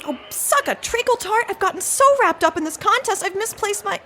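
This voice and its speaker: A woman's voice: very high voice, lively intonation, a little fast, bright, forceful tone, a little hiss or hum, full character voice. A woman acting as an earnest, flustered secret agent in a comedic audio drama, talking in emphatic exclamations. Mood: panicked. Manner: emphatic, exclamatory, uses quaint mock-swearing. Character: flustered, earnest female secret agent undercover as a pie baker.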